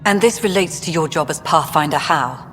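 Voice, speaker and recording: Low, curt female director, tired and blunt, with faint noise behind. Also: expressive, moderate pace, a bit bright. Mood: weary blunt. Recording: game audio with long reverb and faint noise.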